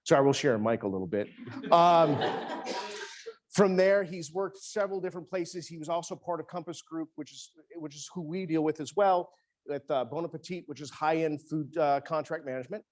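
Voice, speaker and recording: A man's voice: a little high, moderate pace. A man, an executive chef, giving a casual cooking demonstration in a teaching kitchen, talking chattily with many restarts.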